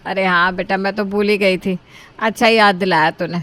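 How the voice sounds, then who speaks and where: A woman's voice: mid-range voice, steady tone, moderate pace, a bit bright, lightly acted. A woman playing a middle-aged cartoon mother-in-law, commanding and sarcastic but doting on her daughter. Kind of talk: Hindi moral-story cartoon dialogue.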